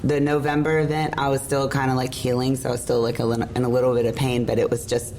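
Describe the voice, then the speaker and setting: Hoarse woman hosting a podcast. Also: very deep voice, little pitch movement, fast, a little mellow, a little hiss or hum, low-quality recording. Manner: rambling, emotional, laughs often. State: hoarse, lost voice. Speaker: podcast host. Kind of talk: casual chat. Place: room at an event hotel. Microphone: shared mic.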